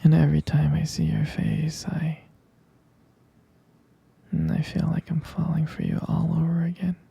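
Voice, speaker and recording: A tender vampire lover in a romantic ASMR roleplay, murmuring in a husky, very dark whisper full of gravelly fry. The delivery is intimate and adoring, with soft hesitant pauses close to the mic. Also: mid-range voice, monotone, lo-fi sound. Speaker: ASMR performer playing a loving partner.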